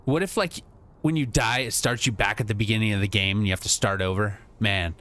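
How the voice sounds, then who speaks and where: A man's voice: on the low side, fairly quick, a bit bright. A man co-hosting a gaming video, bantering casually, laughing often and swearing freely. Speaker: co-host.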